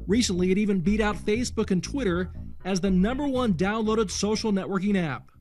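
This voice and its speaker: High-voiced male news reporter. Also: fairly quick, darkish tone, faint music behind. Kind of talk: scripted news narration.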